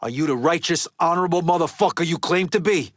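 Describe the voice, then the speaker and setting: An actor playing a hard-boiled, bitter mobster in a video game, talking in a blunt, streetwise way full of slang and swearing. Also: male voice, on the high side, quick pace, dry recording, clean studio sound, natural voice. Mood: confrontational. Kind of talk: video game character dialogue.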